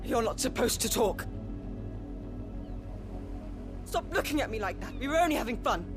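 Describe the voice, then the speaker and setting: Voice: mid-range voice, animated, fast, music in the background, lightly acted. An actor playing a spoiled, cruel young noble child in a video game, with a whiny, entitled manner. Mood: haughty, petulant.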